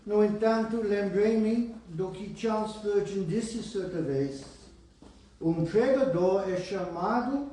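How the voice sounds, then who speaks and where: A man preaching a sermon in Portuguese with a foreign accent, reading scripture slowly and deliberately with small restarts. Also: sits high, mellow, a little hiss or hum. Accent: English-speaking foreigner speaking Portuguese. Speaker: pastor. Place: church hall. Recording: roomy church hall, voice from far off.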